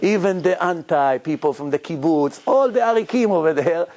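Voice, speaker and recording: A man, a rabbi, telling stories in a lively lecture to his congregation, acting out the people he quotes. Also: a little high, normal speed. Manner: animated, acts out quoted voices, frequent restarts.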